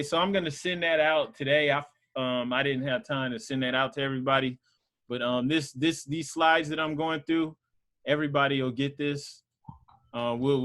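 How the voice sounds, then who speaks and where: A man's voice: mid-range voice, fairly bright tone. A man casually teaching a Sunday school group over a video call, talking loosely with lots of fillers. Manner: loose and conversational, many fillers.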